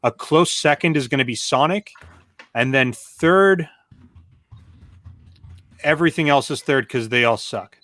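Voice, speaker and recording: A man co-hosting a gaming podcast, relaxed and thinking aloud as he talks. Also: medium pitch, a little slow, long pauses. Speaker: co-host. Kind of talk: casual chat.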